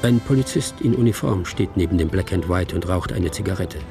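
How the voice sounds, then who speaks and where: A man's voice: low voice, animated, a little fast, gravelly. A man playing a detached narrator who reads out a film script in short, observational present-tense sentences for a radio drama. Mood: detached, suspenseful. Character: detached male narrator reading out a film screenplay. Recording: music under the voice.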